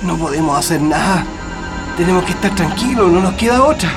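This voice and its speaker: A man's voice: high voice, animated, brisk, music in the background. A man playing a weary, resigned prisoner in a radio drama, hesitant and subdued. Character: weary, resigned male prisoner among captured workers.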